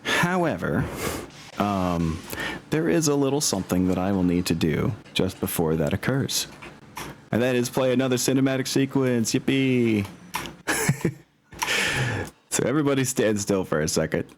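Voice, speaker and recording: A man running a tabletop game as game master, explaining casually and often pausing to think. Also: mid-range voice, lively intonation. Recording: faint background noise.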